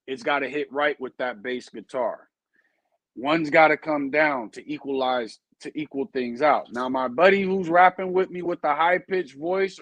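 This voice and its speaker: A man's voice: on the high side, fairly bright tone, no echo, low-quality recording. A man hosting a casual show, earnestly explaining things with everyday comparisons and checking in with his listeners. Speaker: show host and dog breeder. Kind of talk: casual podcast talk.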